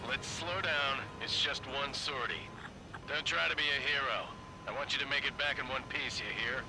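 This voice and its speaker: Protective squadron leader, firm coaching tone, game radio chatter, loud music behind. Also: male voice, medium pitch, normal speed, poor audio. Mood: calm, protective. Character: steady, protective military fighter pilot flight leader.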